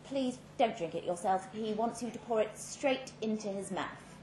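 A woman voicing a brisk, controlled female supervisor in a live story reading, businesslike and coolly matter-of-fact. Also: medium pitch, mellow, pitched above the performer's own voice, close to an everyday voice. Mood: businesslike briefing. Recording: roomy live venue, background noise.

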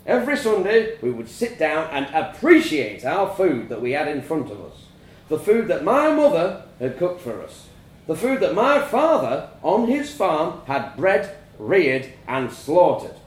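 A man voicing a stern, domineering farmer father who lectures solemnly and erupts into furious shouting. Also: on the high side, big pitch swings, measured pace, echoey room, voice pushed up, low-quality recording.

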